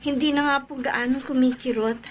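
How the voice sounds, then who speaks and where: A woman playing a polite young daughter in a radio drama, with a high voice and faint hiss behind. She speaks softly and respectfully to her elders. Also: fairly even intonation, fairly quick, lightly acted. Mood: reassuring.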